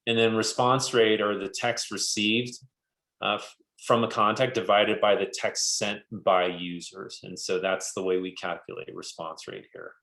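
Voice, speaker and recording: Low-voiced man presenting in an echoey room. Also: level tone, a little slow, gravelly, a bit bright. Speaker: webinar presenter. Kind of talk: informal business presentation over slides. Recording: roomy, echoey sound.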